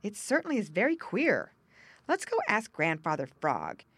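A woman's voice: medium pitch, huge pitch swings, moderate pace, fairly bright tone, breathy edge, no echo, full character voice. A woman voicing a puzzled male muskrat in a storybook, earnest and a little fretful.

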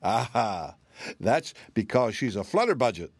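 A man voicing a wise storybook wizard, brisk, assured and consoling. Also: lowish voice, big pitch swings, slightly rough, no room sound, full character voice. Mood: knowing.

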